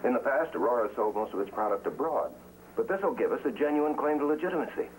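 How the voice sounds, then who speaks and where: A man's voice: mid-range voice, expressive, fast, lightly acted, poor audio. An actor playing an earnest, guarded undercover man in a 1950s TV drama, clipped and serious in his delivery. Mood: persuasive. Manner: clipped, serious, matter-of-fact. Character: guarded, earnest man working undercover inside a communist cell. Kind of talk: 1950s TV drama acting with terse voice-over. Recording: old 1950s TV soundtrack, some noise.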